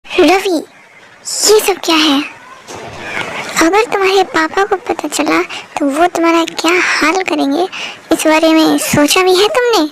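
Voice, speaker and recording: A woman plays a stubborn, fretting mother from a moral story cartoon. Her voice is extremely high and childlike, with a creaky edge. Faint noise sits behind her. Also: slow pace, exaggerated voice. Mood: scolding. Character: worried, stubborn village mother of a truant son.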